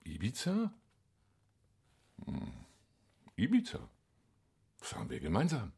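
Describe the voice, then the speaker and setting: An actor playing a middle-aged, lustful and wounded sardonic lover in a German radio play. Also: male voice, low-pitched, wildly swooping pitch, slow, gravelly, warm tone, exaggerated voice. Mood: eager, lustful.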